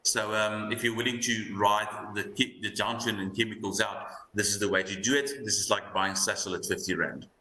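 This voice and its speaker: A man with an Afrikaans accent giving a frank, matter-of-fact stock pitch as a market commentator on business TV. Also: steady tone, moderate pace, few pauses, sharp tone, roomy sound, poor audio.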